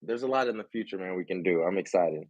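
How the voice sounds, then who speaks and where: A man's voice: low voice, lively intonation, quick pace, darkish tone, dry recording, highs cut off. Enthusiastic man host, dry close sound. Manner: enthusiastic, says bro and dude often. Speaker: podcast host. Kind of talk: casual chat, reading a book aloud.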